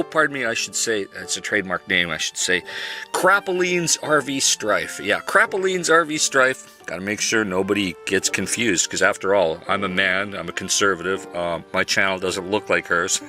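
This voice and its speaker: A man's voice: fairly low pitch, bright, forceful tone. A man from Canada giving a sarcastic, chuckling commentary monologue as a channel host. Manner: sarcastic, chuckling, mock-polite.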